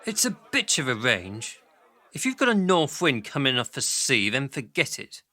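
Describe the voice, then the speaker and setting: An actor playing a brash, crude pharmaceutical boss with a breezy, domineering manner in a radio drama. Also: male voice, medium pitch, wildly swooping pitch, normal speed, sharp tone, no room sound, a faint crowd, full character voice.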